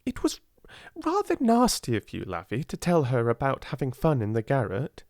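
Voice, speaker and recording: A man's voice: mid-range voice, wildly swooping pitch, normal speed, darkish tone, dry recording, studio quality, voice pushed up. A man with a British accent voicing a silly but kindly schoolgirl in an audiobook, earnest and inquisitive. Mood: gossipy.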